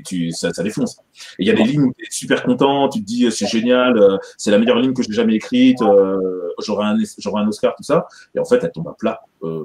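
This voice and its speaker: Male animation director, low voice, casual and rambling, remote panel. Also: darkish tone. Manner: rambling, many restarts.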